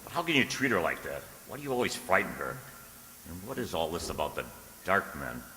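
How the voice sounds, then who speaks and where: A man's voice: low voice, very animated, fairly quick, sharp tone, a little hiss or hum, full character voice. An actor playing an anxious, romantic trapped man in a radio drama, with dramatic, diary-style delivery. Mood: indignant. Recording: echoey hall, long reverb.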